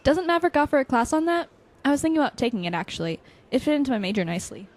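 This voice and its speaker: A woman's voice: high voice, big pitch swings, very fast. A woman playing a chatty, frank young college student in casual audio drama dialogue. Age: young adult.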